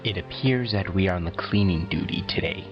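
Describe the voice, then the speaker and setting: An actor voicing a cool, formal anime high school prince with a stiff, deadpan delivery, in a parody dub style. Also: fairly quick. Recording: muffled, music behind.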